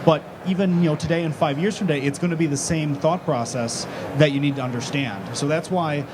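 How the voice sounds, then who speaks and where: A man's voice: fairly high pitch, fairly quick, noisy background. A man on a conference panel, explaining a decision framework fluently and at length. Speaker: author on a panel. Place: large conference stage.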